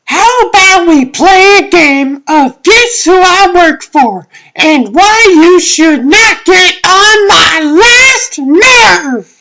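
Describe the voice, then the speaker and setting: A short-tempered, threatening tough woman, played by a woman in a comic dub. Her voice is high and extremely slow, drawing out each menacing word. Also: animated, background noise, full character voice. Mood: irritated, menacing.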